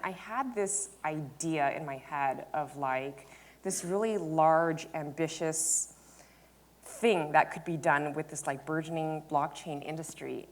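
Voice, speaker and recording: A woman in her thirties, a startup founder on a panel, talking candidly and informally about herself. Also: low-pitched. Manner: informal, peppered with "like" and self-quotation. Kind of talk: candid conversational storytelling on stage. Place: indoor event stage before an audience. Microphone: lapel mic.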